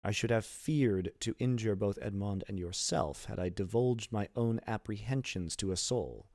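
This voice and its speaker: A man's voice: lowish voice, normal speed, darkish tone, no echo, clean studio sound, lightly acted. A man voicing a scheming, self-serving ship's officer in an audiobook, insinuating and formally worded. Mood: oily, deferential.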